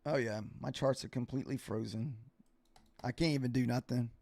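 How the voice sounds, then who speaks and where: A man hosting a casual live trading stream, thinking aloud in an informal, halting way, his voice getting a little hoarse. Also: mid-range voice, steady tone, a little mellow, dry recording. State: voice starting to get hoarse. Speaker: livestreaming futures day trader and host. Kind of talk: casual live trading commentary, answering viewers.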